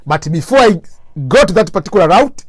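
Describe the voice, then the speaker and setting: Higher-voiced Tanzanian man, motivational speaker. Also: animated, brisk, breathy edge, no room sound, clean studio sound. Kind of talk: motivational talk to camera.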